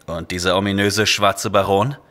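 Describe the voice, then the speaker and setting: A man's voice: low voice, steady tone, moderate pace, a bit bright. A man playing a confident, easygoing space adventurer in a retro sci-fi audio drama, with an assured, companionable heroic manner. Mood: wary.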